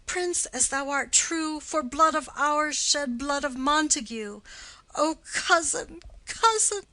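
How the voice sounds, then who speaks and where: Woman acting grieving noblewoman, vengeful, wailing, high sharp voice, classical tragedy. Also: expressive, slow pace, heavily acted, rough recording. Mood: anguished. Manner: wailing, theatrical. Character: grieving noblewoman wailing for blood revenge on her kinsman's killer. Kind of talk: classical stage-play reading.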